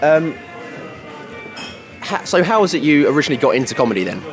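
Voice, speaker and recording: A man interviewing a comedian, asking quick questions with many ums. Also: fairly high pitch, very animated, music in the background. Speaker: radio interviewer.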